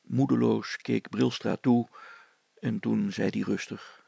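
A man's voice: lowish voice, fairly even intonation, takes it slowly, vocal fry, warm tone, slightly rough, no echo. A man reading a children's story as its narrator, in scripted storytelling style.

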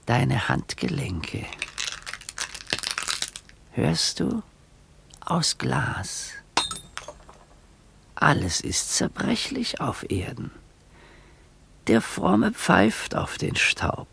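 An actor voicing a sinister, coaxing finger of death with a creeping menace, in a poetic radio drama. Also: male voice, mid-range voice, huge pitch swings, drawn-out words, vocal fry, pauses often, background noise, exaggerated voice. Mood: softly menacing.

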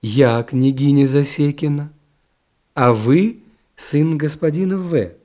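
A man's voice: on the high side, takes it slowly, warm tone. A man voicing a blunt, unkempt princess of about fifty in a dramatised story reading, abrupt and nosy. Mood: brusque.

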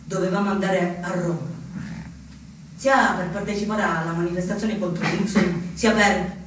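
A man acting a young anarchist recounting his fate in a theatrical stage monologue, with deliberate phrases and dramatic pauses. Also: high voice, fairly even intonation, natural voice. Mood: solemn, declarative. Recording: very echoey, distant stage recording with noise.

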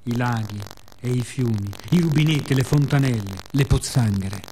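A man delivers a scripted radio theatre monologue as a storyteller, in a measured, reflective, dramatic way with pauses. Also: medium pitch, mellow, a little hiss or hum.

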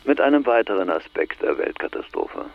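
Older guy on a dull phone line, gravelly n low, swingy intonation, teasing, enigmatic, radio play. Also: sing-song. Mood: wry, teasing. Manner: wry, teasing, measured. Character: teasing, enigmatic older man, long-lost friend calling. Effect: telephone filter. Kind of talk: radio drama phone dialogue. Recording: slightly dull, band-limited phone line.

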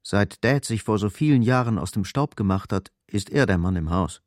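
An actor as the grave narrator of a crime thriller radio drama, telling it in short, scripted present-tense sentences. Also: male voice, lowish voice, expressive, moderate pace, a little mellow, no room sound, studio quality, close to an everyday voice. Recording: music behind the voice.

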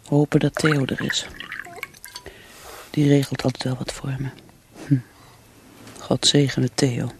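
Middle-aged secret drinker, hesitant, nervously polite, radio drama, clearly noisy recording. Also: female voice, a bass voice, flat delivery. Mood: furtive, self-soothing. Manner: hesitant, halting, breathy asides to herself. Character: secretly drinking, anxious working mother hiding her habit behind politeness.

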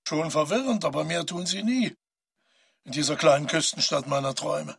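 An actor playing the questioning inner voice of a haunted man in a literary radio drama, terse, knowing and husky. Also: a little high, normal speed, a little hiss or hum. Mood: probing, musing. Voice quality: husky, creaky.

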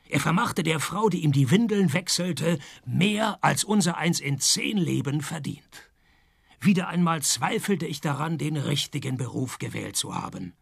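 A world-weary male private detective narrating his own radio play. The voice is badly rasped and crackly, pitched a little high. He tosses out dry, sardonic lines with a deadpan wit. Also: no room sound, heavily acted. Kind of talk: first-person radio play narration and dialogue.

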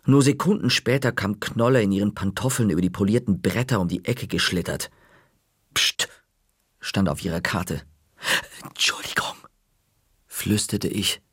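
A man narrating a children's story in the first person, calm, dropping to a whisper in quiet moments. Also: lowish voice, slightly rough, dry recording, studio quality.

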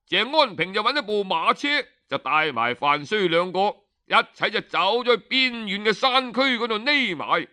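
A male storyteller narrates in a high, bright voice. He takes his time, speaking colloquially and expressively in the manner of traditional oral tales, with drawn-out phrase endings and smooth, clean tone. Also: animated.

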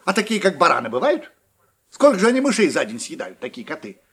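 Boastful storybook mouse girl, husky and high, a man doing the voice. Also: fast, exaggerated voice. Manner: bragging, shrill and lively. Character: boastful female mouse with a long tail.

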